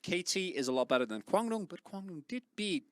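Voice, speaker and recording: A man's voice: sits high, lively intonation, a little fast, no echo, studio quality. A young man giving casual esports analysis, rambling and correcting himself as he goes, with lots of fillers. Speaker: esports caster.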